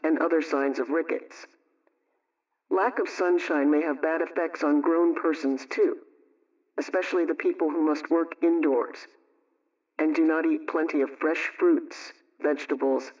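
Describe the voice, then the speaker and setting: Actress as female homemaking adviser for a vintage radio talk: instructive, measured, practical, with dull, distant sound. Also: deep voice, a little mellow, natural voice. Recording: muffled radio sound, off mic.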